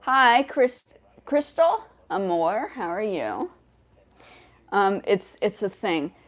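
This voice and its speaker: A woman's voice: mid-range voice, big pitch swings, takes it slowly. A young woman hosting a casual livestream, talking in a rambling way with many fillers and restarts. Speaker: livestream host.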